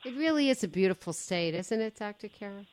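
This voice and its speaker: A woman's voice: medium pitch, lively intonation, fairly quick. A woman hosting a radio talk show, interviewing a guest in a chatty, affirming way.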